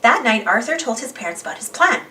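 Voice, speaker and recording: Lively, high-voiced woman reading a storybook. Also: fast, far from the mic. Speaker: storybook reader. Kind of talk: animated children's read-aloud narration.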